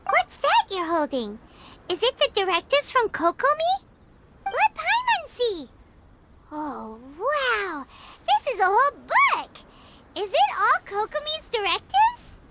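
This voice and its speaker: A woman voicing a tiny, excitable, cheeky fairy companion in a video game, with a squeaky childlike voice. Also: slow, heavy background noise, full character voice. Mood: curious, amazed. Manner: refers to self in third person, exclamatory. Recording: loud game music underneath.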